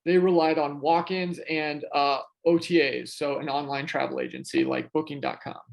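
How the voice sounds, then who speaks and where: A man's voice: on the high side, lively intonation, moderate pace, vocal fry. A man who is a guest on a podcast, telling a story casually with plenty of fillers and restarts. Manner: chatty, many fillers and restarts. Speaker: podcast guest, real estate investor.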